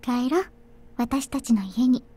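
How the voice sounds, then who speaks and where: A woman voicing a quiet, earnest, bluntly affectionate young heroine in a Japanese visual novel. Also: on the high side, normal speed, slightly rough. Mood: quiet, tender. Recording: music under the voice. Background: background music.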